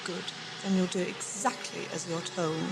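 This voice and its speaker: A woman's voice: on the low side, steady tone, normal speed, noisy background, lightly acted. A woman playing a cool, suspicious henchwoman in an audio drama, curt, sardonic and commanding. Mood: threatening.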